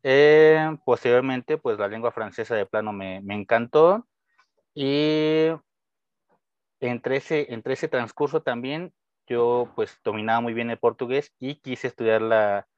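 A man's voice: medium pitch, a little slow, smooth, dry recording. A young Mexican man with a Mexican Spanish accent, a guest teacher answering casually and friendly, with hesitations and restarts. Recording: dull lo-fi dry sound.

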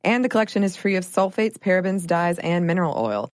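A woman reads a scripted advertisement in a chatty testimonial manner. Her voice is low, and the recording is dry and close. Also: sing-song, fast talker, no echo. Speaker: ad spokesperson. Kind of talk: scripted ad read.

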